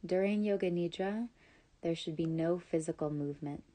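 A woman leading a scripted guided yoga nidra meditation, speaking in calm, soothing, measured instructions with pauses. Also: fairly low pitch.

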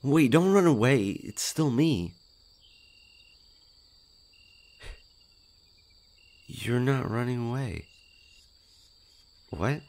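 A shy skinwalker monster, played by a man, with a low male voice and slow, hesitant speech. He stammers and gets flustered, a friendly, nervous creature in an intimate audio roleplay. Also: creaky, darkish tone, full character voice. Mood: shy, relieved.

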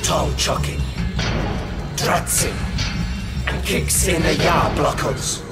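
An actor playing a brash young hoodlum, chanting violent slang verse rhythmically in a radio drama. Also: male voice, on the high side, huge pitch swings, takes it slowly, over loud music, full character voice. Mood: brutal glee.

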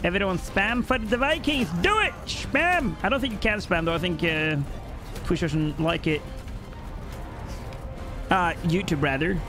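Chatty Norwegian streamer, upbeat voice. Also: male voice, sits high, unhurried, long pauses, music in the background. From Norway. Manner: chatty, reads chat aloud, jokey. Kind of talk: live-stream gameplay chat. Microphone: headset mic.

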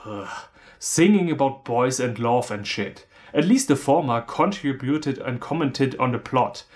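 A man in his thirties from Germany with a German accent, delivering a scripted, humorous film review in a sardonic manner. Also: mid-range voice.

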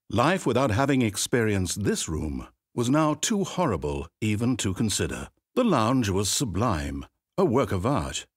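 A wry, theatrical game narrator. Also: male voice, breathy edge, dry recording. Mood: gushing. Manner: measured, wry and theatrical, with sweeping pitch. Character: dry, wry male storybook narrator of a game. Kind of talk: scripted narration. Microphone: studio microphone. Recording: dry close studio recording.

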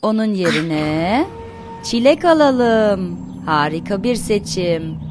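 A woman's voice: medium pitch, expressive, slow pace, a little mellow, slight background noise. A woman narrating a cartoon for toddlers in a sing-song, encouraging way, often asking questions. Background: light music behind the voice.

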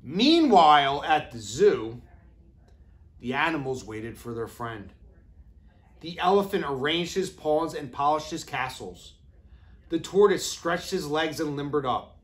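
Lively, higher-pitched man reading to children. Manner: deliberate, expressive phrasing for young children. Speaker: educator reading aloud to kindergarteners. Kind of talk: children's storybook read-aloud. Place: classroom, indoors.

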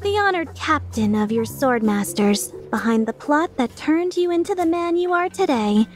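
Girl voicing playful evil merc chick for a game, high swoopy voice, taunting and sarcastic. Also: expressive, normal speed, faint music behind. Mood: gleeful taunting. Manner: teasing, mock-sincere, sardonic. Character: playful, taunting, mischievous young female mercenary villain. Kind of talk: fan dub of game dialogue.

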